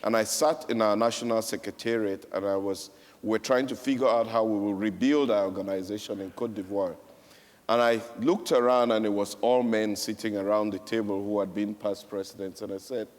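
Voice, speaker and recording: A man's voice: fairly low pitch, fairly even intonation, fairly quick, darkish tone. A man from Cameroon speaking on a panel, telling a story with emphatic repetition. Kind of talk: panel speech. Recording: echoey hall.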